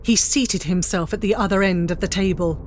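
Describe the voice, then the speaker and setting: A woman giving measured, literary narration for a dramatised story. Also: on the low side, a little hiss or hum.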